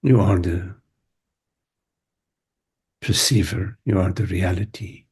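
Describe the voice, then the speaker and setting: A man guides a meditation in a low, very husky and breathy voice. His delivery is mellow and contemplative, broken into short phrases with long silences between them. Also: fairly even intonation, slow. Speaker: meditation teacher. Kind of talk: guided meditation, spoken softly to listeners.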